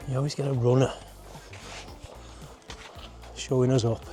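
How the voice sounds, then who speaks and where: Mellow-voiced man vlogging on a hike. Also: medium pitch, level tone, unhurried, faint music behind. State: exerted from an uphill hike. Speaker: travel vlogger and host. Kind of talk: casual walk-and-talk hiking commentary. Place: outdoors on a forest hiking trail.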